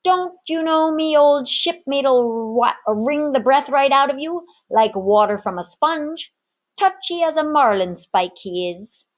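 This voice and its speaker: Woman voicing a gruff, folksy storybook barkeep. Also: high voice, lively intonation, unhurried, voice pushed up. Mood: menacing. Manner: folksy old sailor dialect. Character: gruff male tavern barkeep, threatening yet protective.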